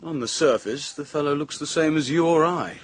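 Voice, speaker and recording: An actor playing a brisk, condescending modern police inspector with a clipped, self-satisfied British voice, in a radio drama. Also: male voice, fairly high pitch, breathy edge. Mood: smug.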